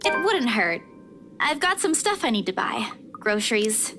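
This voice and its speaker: Lively, high-voiced teasing young woman. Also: a little slow. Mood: casual, teasing. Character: guarded but playful young woman bantering with a friend. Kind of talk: visual novel voice acting. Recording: faint background noise.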